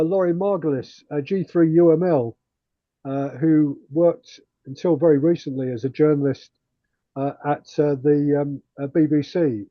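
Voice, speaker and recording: A man hosting an online radio club meeting, speaking haltingly with many fillers. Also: mid-range voice, no echo, highs cut off. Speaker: club host.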